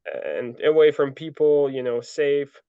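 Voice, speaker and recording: A young man telling his story in a relaxed interview, full of fillers and restarts. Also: on the high side, unhurried, clear, resonant tone, dry recording. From Ukraine. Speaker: guest, ultra trail runner. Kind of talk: casual interview answers. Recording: dull lo-fi dry sound.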